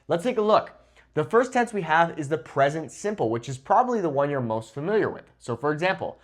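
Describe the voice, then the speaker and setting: A man in his thirties giving an instructional language lesson to camera in an emphatic, didactic way, checking in often with the listener. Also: fairly high pitch. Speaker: Spanish teacher. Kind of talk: instructional lesson to camera, switching between English and Spanish examples. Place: indoors, in front of a whiteboard. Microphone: lapel mic clipped to the shirt.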